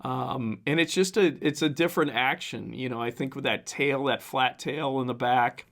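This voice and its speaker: A man with a hoarse, raspy, strained voice hosts a casual podcast on his own. He rambles loosely with plenty of fillers and reads passages aloud in an easygoing, mid-pitched tone. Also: moderate pace, a bit bright. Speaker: podcast host. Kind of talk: casual solo podcast commentary.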